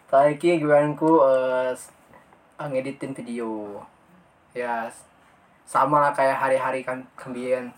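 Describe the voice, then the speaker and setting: A man's voice: medium pitch, fairly even intonation, takes it slowly, long pauses, far from the mic. A young man from Central Java joking and bantering as a podcast co-host, swearing casually and laughing often.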